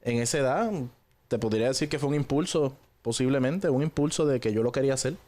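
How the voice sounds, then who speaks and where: A man from Puerto Rico with a Puerto Rican accent, telling his story in a relaxed, conversational way with frequent hesitant pauses. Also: medium pitch. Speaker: guest giving a personal testimony.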